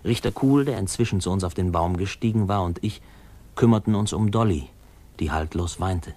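A man's voice: sits low, moderate pace, warm tone. An actor playing a man recalling his boyhood, narrating a radio drama in a conversational, amused way. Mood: amused, reminiscing. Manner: conversational, hesitant, chuckling storyteller.

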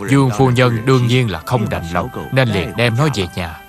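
An actor playing a kindly, patient older Buddhist master gently telling a story in a dubbed cartoon. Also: male voice, mid-range voice, normal speed, music in the background, close to an everyday voice. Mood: reassuring. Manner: gentle, explanatory.

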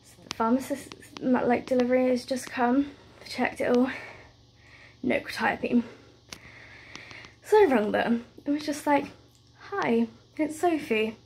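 A woman's voice: high-pitched, fairly even intonation, measured pace, a little mellow, a little hiss or hum. A young British woman vlogging at home in a rambling, chatty way, stressed and close to tears. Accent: British English. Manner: rambling, chatty, with many fillers and run-on stories. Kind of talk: casual chat to camera. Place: at home, indoors.

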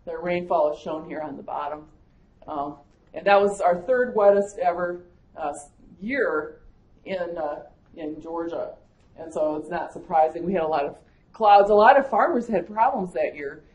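A woman's voice: on the low side, normal speed, a little mellow, dull audio. A woman with a Wisconsin accent, giving a conversational conference lecture as an agricultural climatologist, with frequent fillers. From Michigan, USA. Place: conference room. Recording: echoey lecture room.

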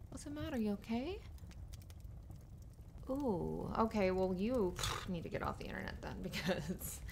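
A woman playing an affectionate, playful writer girlfriend in an intimate ASMR roleplay, gently reassuring her partner in a giggly, rambling way. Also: medium pitch, sing-song, unhurried, creaky. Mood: tender, amused. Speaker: audio roleplay performer. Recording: noisy fireplace ambience behind voice. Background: fireplace ambience.

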